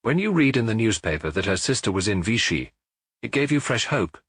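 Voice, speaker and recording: Man as low, husky, weary police detective. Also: very animated, fairly quick, fairly bright tone, no room sound, studio quality, exaggerated voice. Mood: probing. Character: weary older police detective, calm, firm, compassionate.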